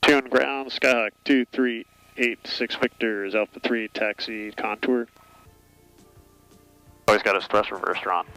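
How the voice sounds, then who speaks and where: A man's voice: fairly low pitch, fairly even intonation, measured pace, pushed and bright, soft background music, poor audio. A man, a light aircraft pilot, talking casually and making radio calls on a cockpit intercom.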